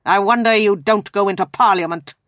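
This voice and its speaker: A man's voice: high-pitched, a little fast, fairly bright tone, dry recording, studio quality. A woman voicing a bitter, scornful old miser in a storybook reading, stern, indignant and growling. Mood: stern, sarcastic.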